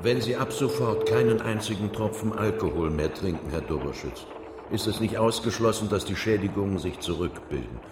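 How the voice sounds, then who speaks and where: An actor playing a serious, fatherly doctor in a radio drama, measured with a trace of warmth. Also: on the low side, expressive, close to an everyday voice. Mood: stern, fatherly. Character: fatherly, sober male doctor giving stern counsel. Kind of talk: German radio drama acting. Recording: echoey consulting room, background noise.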